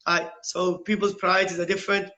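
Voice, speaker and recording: A man's voice: high-pitched, flat delivery, a little fast, bright, forceful tone. A middle-aged British man giving earnest interview answers as a school principal, often posing questions and checking with "right?". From United Kingdom. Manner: rhetorical questions, tags points with "right?". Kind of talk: earnest, explanatory interview talk.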